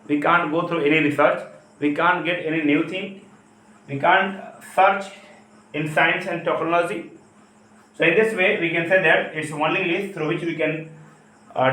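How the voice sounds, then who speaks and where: A man from India with an Indian accent and Hindi as his first language, giving an explanatory English lesson as a teacher, with halting, repetitive phrasing. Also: a little high, fairly bright tone. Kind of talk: spoken lesson, explanatory talk. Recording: echoey, roomy sound.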